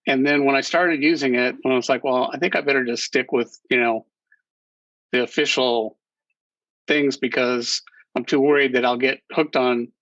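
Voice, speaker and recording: A man's voice: mid-range voice, a bit bright, no echo, highs cut off. A man speaking as a guest on a casual panel, hesitant and full of restarts. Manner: hesitant, many fillers and restarts.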